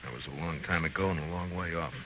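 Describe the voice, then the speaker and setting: An American actor playing a stern, world-weary frontier marshal in an old radio western, terse and commanding. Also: male voice, low voice, quick pace, a little hiss or hum, natural voice. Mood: subdued, weary. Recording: muffled vintage radio recording, music behind.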